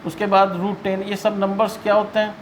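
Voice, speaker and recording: A man's voice: high-pitched, completely flat delivery, fairly quick, slight background noise. An Indian man teaching maths in an explanatory lesson style, asking and answering his own questions and repeating key points.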